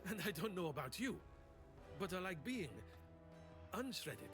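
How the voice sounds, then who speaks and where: A woman's voice: fairly low pitch, big pitch swings, unhurried, music in the background. An actress playing a wry, cheeky woman adventurer in a video game, with a playful, teasing manner. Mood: wry, confident.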